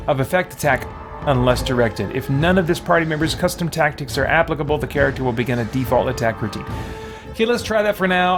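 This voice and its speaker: Man live-streaming a game over music. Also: fairly high pitch. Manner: muttering to himself, mild exclamations. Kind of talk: casual live-stream gameplay chat, reading on-screen text aloud.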